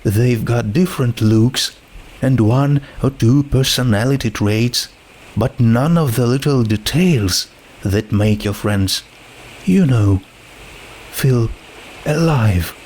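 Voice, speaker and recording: A man's voice: mid-range voice, takes it slowly, a little mellow, low-quality recording. A man voicing an earnest, troubled teenage girl with a hesitant, searching manner in a story reading. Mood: frustrated, uneasy. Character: troubled, earnest teenage girl doubting her world is real. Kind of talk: fan fiction character reading.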